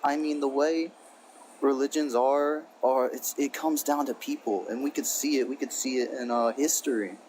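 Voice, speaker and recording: This man has a mid-pitched voice with faint noise behind it. He rambles from thought to thought and keeps apologizing as he goes. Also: steady tone, moderate pace, a little mellow, rough recording. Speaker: caller. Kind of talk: live debate call-in.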